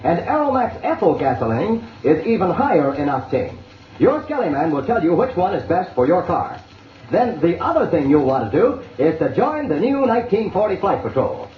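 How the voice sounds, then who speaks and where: 1940s american radio announcer guy, high voice, chummy n dramatic talking to kids, dull noisy old recording. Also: big pitch swings, normal speed. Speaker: radio serial announcer and sponsor spokesman. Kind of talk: 1940s radio serial announcing, addressed to children. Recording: echoey, noisy, dull 1940 radio transcription.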